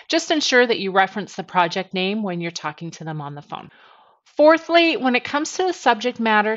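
A woman's voice: medium pitch, a bit bright. A woman in her forties giving instructional tips to camera as a trainer, stressing each point step by step. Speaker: sales trainer presenting tips. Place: small attic bedroom, indoors. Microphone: headset. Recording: dull, lo-fi headset sound.

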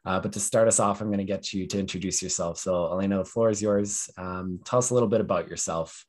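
Deep-voiced young male podcast host. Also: fast. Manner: relaxed, leans on "you know" and "um". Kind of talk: casual interview talk. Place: small room at home. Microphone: video call.

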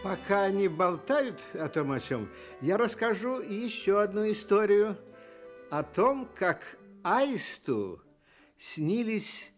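High-voiced, unhurried man storyteller. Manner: unhurried, expressive. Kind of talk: children's story narration. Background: music.

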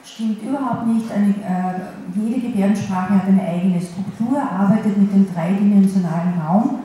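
Dark-voiced woman interpreter, echoey hall. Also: mid-range voice. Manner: halting interpreting with restarts, pauses and fillers. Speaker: sign language interpreter. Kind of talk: voicing a signed lecture in the first person. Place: large wood-panelled council hall. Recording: very echoey hall, faint ambience.

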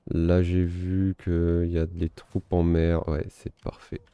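A man giving relaxed, self-mocking commentary while playing a strategy game, thinking aloud. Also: sits low, slow pace, clear, resonant tone, muffled recording. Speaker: gaming video host. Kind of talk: casual let's-play commentary.

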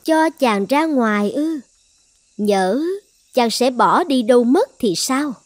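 A woman's voice: a little high, animated, slow pace, no room sound. A woman voicing a possessive, lovesick sorceress princess in a cartoon fairy tale, commanding and coaxing with an edge of menace. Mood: wary, relenting.

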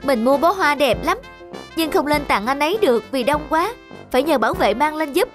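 A woman's voice: high-pitched, expressive, fairly quick, music in the background. A woman voicing a chatty, starstruck schoolgirl in a children's cartoon, eager and gushing. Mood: excited.

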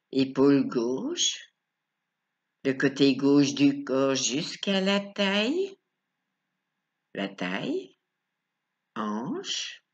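A woman leading a guided relaxation as a coach, speaking calmly and instructively in short phrases with pauses. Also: a bass voice, lo-fi sound. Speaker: relaxation coach guiding yoga nidra.

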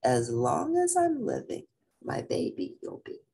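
A woman's voice: on the high side, wildly swooping pitch, unhurried, gravelly, mellow, husky, voice pushed up, exaggerated voice. A woman voicing a tender, devoted mother in a storybook, giving a repeated lullaby to her son.

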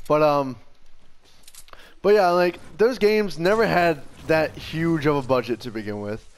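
Young man, somewhat high-pitched, casual gaming chatter, slangy and sweary. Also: a little slow. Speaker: gaming show host. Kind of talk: casual chat while playing a video game.

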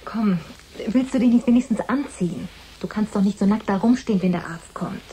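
A woman's voice: mid-range voice, a little fast, mellow, close to an everyday voice. An actress playing a worried, coaxing woman in a radio drama, talking to her partner with concern. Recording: faint background noise.

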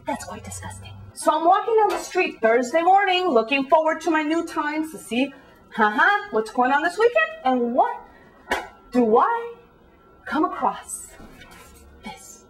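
A very high-voiced, judgmental woman played by a female monologist holds forth in a comic monologue. She is indignant and condescending, firing off dramatic rhetorical questions with open scorn. Music is part of the recording. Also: very animated, measured pace, low-quality recording. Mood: disgusted, incredulous. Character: judgmental, scornful woman, switching between English and Spanish.

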